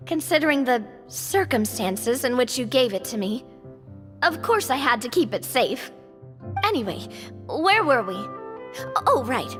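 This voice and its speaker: High, lively, playful young adventuress. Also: female voice, big-room sound. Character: playful, breezy young woman adventurer, cheekily bold. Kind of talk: video game voice acting.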